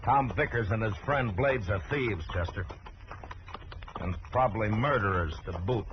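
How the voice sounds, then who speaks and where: A man playing a calm, weary frontier marshal in a radio western, speaking with dry, firm understatement. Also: lowish voice, moderate pace, close to an everyday voice. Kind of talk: 1950s radio western acting. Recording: muffled, noisy old radio recording.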